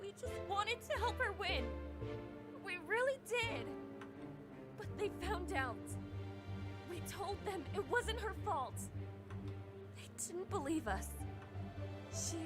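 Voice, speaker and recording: Very high-pitched, sorrowful anime schoolgirl. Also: lively intonation, takes it slowly, pauses often, loud music behind the voice, exaggerated voice. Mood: sorrowful, pleading. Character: sorrowful, earnest young schoolgirl in visual novel. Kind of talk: anime-style visual novel voice acting.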